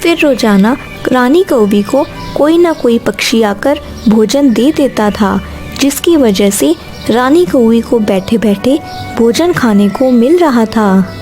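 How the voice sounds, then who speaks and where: Woman narrator, scripted children's story, fairly high pitch, unhurried delivery, soft music underneath. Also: lively intonation.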